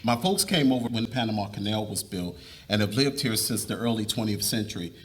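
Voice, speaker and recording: A man's voice: on the low side, expressive, fairly quick, close to an everyday voice. A man reading the part of an earnest, polite young college student in a dramatic reading of a novel. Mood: friendly, forward.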